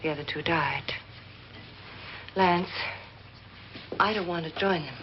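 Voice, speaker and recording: An actress playing the cool, anxious wife of a jealous millionaire in a 1950s horror film, poised and commanding. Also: female voice, on the low side, slow pace, slight background noise. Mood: fearful, grave. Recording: dull vintage film soundtrack with music.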